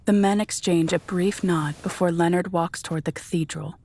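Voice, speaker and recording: A woman reading scripted narration for a dark audio drama in a measured, descriptive storytelling manner. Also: on the low side, steady tone.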